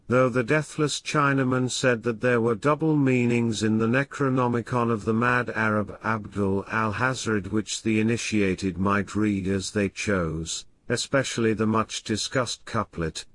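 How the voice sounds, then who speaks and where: A man with a medium-pitched voice narrates an old weird tale as an audiobook. His delivery is formal and measured, shaped like literary prose, and his phrases settle downward as each sentence closes. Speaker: audiobook reader. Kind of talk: scripted narration of a classic horror tale.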